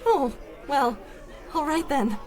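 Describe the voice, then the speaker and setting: Courteous, flustered young female clerk for 1930s-style serial: high-pitched, very animated delivery. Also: slow. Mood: flustered, uneasy. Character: polite, increasingly nervous young female bank teller. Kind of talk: 1930s-style radio drama acting. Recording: music clearly behind.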